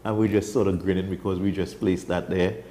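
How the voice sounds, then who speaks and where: Trinidadian man, wildlife guest, low and mellow, enthusiastic storyteller. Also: little pitch movement, fairly quick. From Trinidad and Tobago. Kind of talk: casual studio chat. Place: TV studio.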